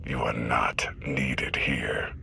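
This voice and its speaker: An actor playing an arrogant, scornful young warrior prince in a dramatic, measured, disdainful video game performance. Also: male voice, rumbling low, steady tone, vocal fry, pushed and bright, husky, full character voice. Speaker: villain prince.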